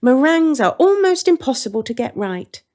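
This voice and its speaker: A woman reader acts out a storybook witch who is cheerful and menacing at once. Her pitch swoops widely as she talks in a dry, breezy, emphatic way, with polite menace under the chattiness. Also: very animated, normal speed, dark tone. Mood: gleefully menacing.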